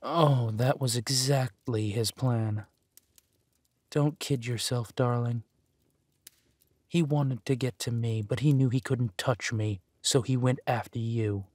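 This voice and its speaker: A man playing a possessive, menacing villain husband who is tender to his spouse, in a slow, soothing, theatrical manner for a roleplay audio drama. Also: mid-range voice, fairly even intonation, a little mellow, no room sound, studio quality. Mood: quietly protective.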